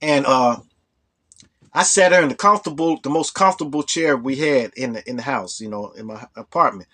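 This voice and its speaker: A hoarse man with a cold telling a rambling personal story as the host of a storytelling channel. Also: mid-range voice, brisk, fairly bright tone. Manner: rambling, full of "you know". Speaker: storytelling vlogger. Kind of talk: casual storytelling.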